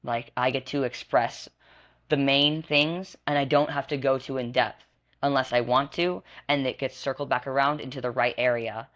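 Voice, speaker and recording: A woman's voice: a bass voice, steady tone, moderate pace, fairly bright tone. Woman in her twenties. Earnest, rambling guest who keeps restarting her sentences. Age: 20s. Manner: earnest, rambling, self-correcting. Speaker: podcast guest. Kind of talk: candid personal testimony.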